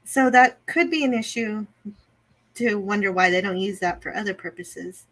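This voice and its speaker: A woman's voice: mid-range voice, normal speed, a bit bright, poor audio. A woman co-hosting a review show, talking through an episode casually in an analytical, explanatory way. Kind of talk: casual episode recap and discussion.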